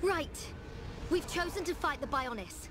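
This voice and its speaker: Very high-pitched plucky heroine. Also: female voice, lively intonation, unhurried. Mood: determined, urgent. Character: plucky, determined young heroine. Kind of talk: scripted video game battle acting. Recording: music behind.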